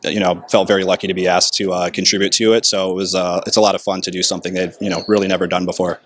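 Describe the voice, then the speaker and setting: Relaxed man with a low voice. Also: racing, slight background noise. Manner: relaxed, filler-heavy. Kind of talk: red carpet interview answers.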